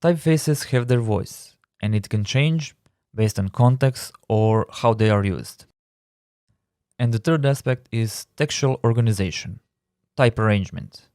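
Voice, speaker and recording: A man with a Croatian accent talks through a design lesson in a calm, measured voice that sits a little low. His phrasing is slightly non-native. The sound is dry and close, with no room around it. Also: dry recording. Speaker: design instructor. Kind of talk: scripted tutorial narration.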